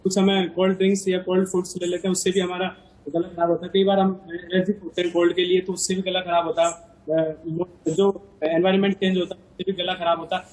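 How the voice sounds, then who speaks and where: A man's voice: sits high, little pitch movement, fast talker, a little mellow, poor audio. A man speaking as a doctor on a live TV health show, explaining in a hesitant way with many fillers and restarts. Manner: explanatory, lists symptoms. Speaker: doctor guest.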